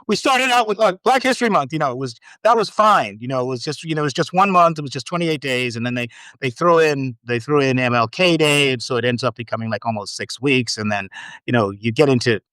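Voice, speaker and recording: A man born in Trinidad with an American accent, a podcast guest chatting casually in a halting, wry way full of restarts. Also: mid-range voice, a bit bright, no echo. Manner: halting, many restarts and fillers, wry humor. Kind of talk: casual chat.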